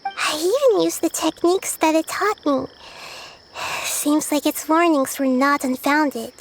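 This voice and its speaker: Very high, thoughtful child goddess. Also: female voice, measured pace, a little hiss or hum, poor audio. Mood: weary. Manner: thoughtful, careful explaining. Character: gentle, wise, childlike young goddess.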